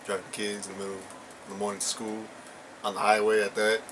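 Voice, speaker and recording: Young man, low-ish voice, loose slangy storyteller, hiss behind him. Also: completely flat delivery, brisk. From Puerto Rican and Ecuadorian family. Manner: slangy, rambling, many fillers. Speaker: co-host. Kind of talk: casual chat, storytelling.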